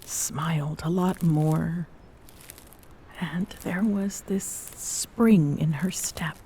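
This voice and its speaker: Slow, low-voiced Amazonian queen, acted by a woman. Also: slight background noise, full character voice, low-quality recording. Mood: fond, amused. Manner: soft, slow, pensive, often breathy near-whisper. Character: smitten Amazonian warrior queen, tender, wistful, guarded. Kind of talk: intimate ASMR roleplay acting.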